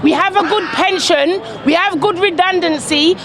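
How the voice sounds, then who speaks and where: A woman's voice: extremely high pitch, moderate pace. A woman in her fifties on the street, cutting in to back up her friend during a debate. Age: 50s. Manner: backs up her friend, cuts in often. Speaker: street interviewee. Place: outdoors on a busy street. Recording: street crowd and traffic noise.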